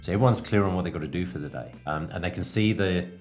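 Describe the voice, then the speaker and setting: A man hosting a business coaching podcast, explaining things in a measured, instructive way. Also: sits low, darkish tone, soft background music.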